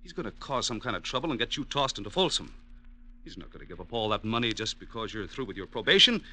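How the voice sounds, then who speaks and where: An American actor playing a tough, impatient, slangy hoodlum boyfriend in 1950s radio drama style. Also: male voice, lowish voice, sing-song, fast, bright, forceful tone, heavily acted. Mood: impatient, scornful. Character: brash, hard-edged male hoodlum boyfriend.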